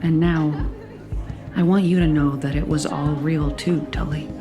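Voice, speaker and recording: A woman's voice: deep voice, steady tone, a little slow, music in the background, a crowd in the background. An actress playing a composed, resolute woman in a naturalistic audio drama, teasing and wry but a little guarded. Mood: sincere.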